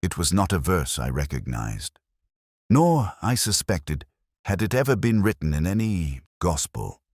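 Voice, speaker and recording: A man reading first-person detective fiction in a brooding, measured, literary manner. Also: deep voice, big pitch swings, darkish tone, breathy edge, no room sound, clean studio sound. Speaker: narrator of detective mystery.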